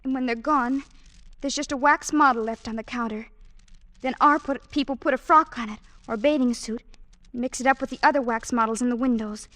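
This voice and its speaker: A woman's voice: high voice, fairly quick, fairly bright tone, dry recording. An actress playing a timid, naive young woman held captive as a maid, earnest and girlish, in old-time radio drama style. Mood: hushed dread.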